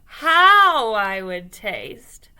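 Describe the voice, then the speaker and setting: A woman's voice: mid-range voice, sing-song, very slow, bright, forceful tone, clear, resonant tone, exaggerated voice. A woman voicing an anxious, plump male turkey with a hesitant, polite manner in a storybook. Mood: relieved, grateful.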